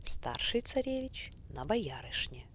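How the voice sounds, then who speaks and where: A woman narrating a bedtime fairy tale in a soft, often whispery, unhurried voice. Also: sits low, sing-song. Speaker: storyteller. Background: faint fireplace crackle.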